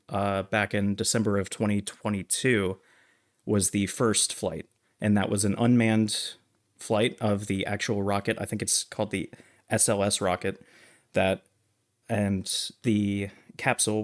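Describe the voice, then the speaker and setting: A man with a fairly low voice talks as a podcast co-host. He explains things casually, feeling his way through details in a measured, halting manner. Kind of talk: casual movie discussion.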